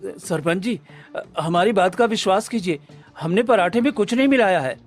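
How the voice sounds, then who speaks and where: A man's voice: high voice, expressive, moderate pace, soft background music. A man playing a greedy, scheming food-cart husband in a Hindi cartoon story, with a fawning, pleading manner.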